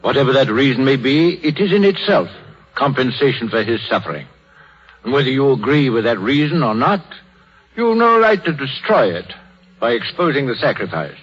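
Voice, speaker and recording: A fatherly old clergyman with a British accent and a husky voice. He speaks in measured, solemn phrases, like gentle preaching, on a dull 1930s radio drama recording. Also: mid-range voice, big pitch swings. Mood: solemn, philosophical. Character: crippled old minister, gentle, philosophical, fatherly.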